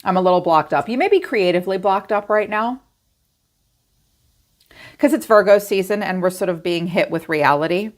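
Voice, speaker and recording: A middle-aged woman giving a casual tarot reading straight to her viewers, chatty and animated, acting out their inner thoughts. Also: mid-range voice, normal speed, long pauses. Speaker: tarot reader.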